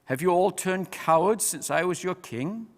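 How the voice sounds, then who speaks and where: A man's voice: high voice, lively intonation, moderate pace, pitched above the performer's own voice. A man voicing a bold, commanding king who calls out urgent orders in a storybook reading. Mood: challenging. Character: bold commanding young king rallying his followers. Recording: long hall-like reverb.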